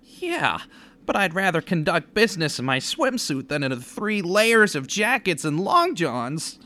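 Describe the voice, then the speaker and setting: Male actor as touchy, sardonic wisecracker for period radio play, wildly swooping intonation, bright edgy voice. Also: sits high, big pitch swings, a little slow, heavily acted. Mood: casual, wry. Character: wary, wisecracking Italian-American man, quick to bristle. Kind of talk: 1930s radio drama acting. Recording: music bed under the voice.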